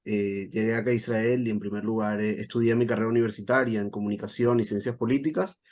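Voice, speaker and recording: A man in his thirties from Venezuela with a Venezuelan accent, speaking as a guest to an audience in a measured way, with frequent hesitation sounds. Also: on the low side, steady tone, a little mellow. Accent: Venezuelan Spanish. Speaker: guest speaker, military spokesperson. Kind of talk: talk to a live audience.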